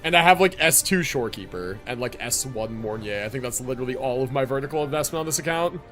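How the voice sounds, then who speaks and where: A man's voice: mid-range voice, animated, fast, a bit bright. A man livestreaming a video game, chatting casually and reacting in slangy, mildly sweary language. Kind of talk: casual livestream chat with gaming commentary. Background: video game music and dialogue.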